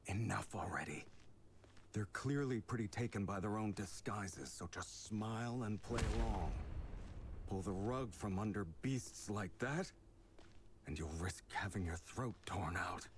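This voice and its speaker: A man's voice: on the low side, unhurried, crowd noise. An actor voicing a wary, cynical man who speaks curtly and guardedly. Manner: curt, guarded.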